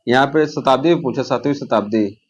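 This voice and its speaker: A man's voice: mid-range voice, brisk, husky, dull audio. A man teaches an informal exam revision lesson, repeating key terms and answering his own questions.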